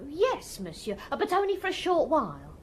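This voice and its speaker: Fluttery high-voiced little concierge. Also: female voice, huge pitch swings, moderate pace, a little hiss or hum. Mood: fluttery, eager. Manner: hesitant, fluttery, deferential. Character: fluttery, eager little Parisian concierge woman. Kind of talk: radio drama acting.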